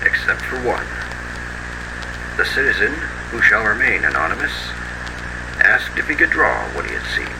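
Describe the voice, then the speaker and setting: A man's voice: fairly low pitch, sing-song, moderate pace, pauses often. A man playing a grave agency spokesman, narrating a scripted analog horror broadcast in a solemn, formal announcer's manner. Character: grave male spokesman for a monster-response agency. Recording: heavy radio-like background noise.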